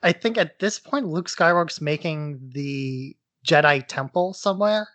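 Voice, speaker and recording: A man's voice: a little high, measured pace, a bit bright, no echo. A man co-hosting a casual podcast, speculating in a tentative way as he pieces his ideas together. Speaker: podcast co-host.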